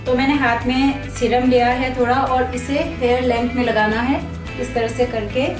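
A young woman calmly walks through a hair care routine step by step, speaking like a beauty vlogger in a small room. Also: on the high side, normal speed, distant mic, music in the background. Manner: calm, instructive, steady. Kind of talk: step-by-step tutorial.

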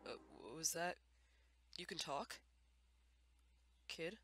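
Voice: medium pitch, expressive, slow, fairly bright tone, slight background noise. A performer voicing a tired, dry-humored male skeleton with a casual, hesitant manner and a bitter edge in a comic dub. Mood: startled. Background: music.

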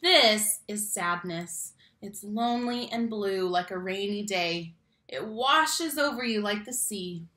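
A young adult woman reads a picture book aloud to young children, expressively, stressing key words and speaking to the listeners directly. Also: medium pitch. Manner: animated, slow emphatic phrasing on key words, addresses children directly. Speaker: read-aloud teacher and storyteller. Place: small room at home, indoors. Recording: roomy home webcam sound.

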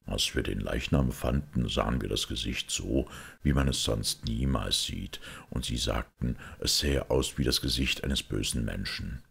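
A man voicing a grave, thoughtful priest detective who explains things in a measured, sombre way in an audiobook. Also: rumbling low, vocal fry, a bit bright, breathy edge, full character voice. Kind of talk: audiobook character acting.

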